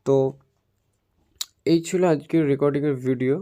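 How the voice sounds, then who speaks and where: A man's voice: on the high side, steady tone, normal speed, mellow. A man giving an informal phone tutorial voice-over, explaining step by step in a repetitive, instructional way. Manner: instructional, repetitive, addresses viewers as friends. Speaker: tech tutorial YouTuber.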